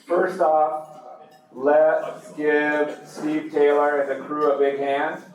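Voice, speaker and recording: A man's voice: a little high, little pitch movement, takes it slowly. Big-voiced man emceeing in an echoey hall. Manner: loud, big-voiced announcer. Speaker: emcee of a church men's group. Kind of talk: live event introduction. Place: large echoey meeting room. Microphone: handheld mic heard from a distance. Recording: echoey room from far off, faint noise.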